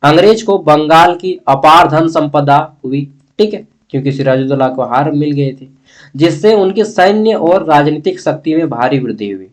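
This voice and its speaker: A man's voice: a little high, animated, normal speed. A man with an eastern Indian Hindi accent from the Bihar-Jharkhand belt teaches history informally, repeating points and answering his own questions. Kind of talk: informal exam-prep lesson, explaining a text.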